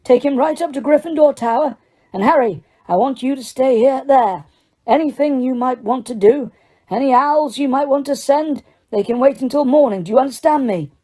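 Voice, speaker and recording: A woman voicing a wise old male headmaster who speaks in a calm, firm and measured way. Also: high voice, voice pushed up.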